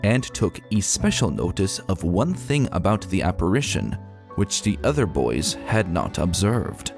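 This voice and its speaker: A man reading a scripted old ghost account as narrator, in a measured, formal storytelling manner. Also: deep voice, big pitch swings, over music.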